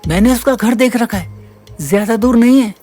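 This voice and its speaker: A man's voice: very high-pitched, normal speed, dark tone, soft background music. An actor playing a timid, troubled young male college student in an audio drama, earnest and pleading. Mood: hesitant. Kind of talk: Hindi audio drama acting.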